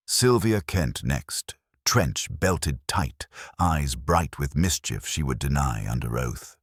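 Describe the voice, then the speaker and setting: A man reading a detective story in a wry, measured storytelling manner. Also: rumbling low, husky, dry recording, clean studio sound. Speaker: audiobook narrator. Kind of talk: scripted detective story narration.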